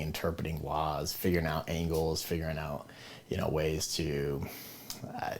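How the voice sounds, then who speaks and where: Deep-voiced laid-back interview host. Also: male voice, vocal fry, a little hiss or hum. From Southern California. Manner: laid-back, short agreeing replies, laughs easily. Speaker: podcast host. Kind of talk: casual conversational interview.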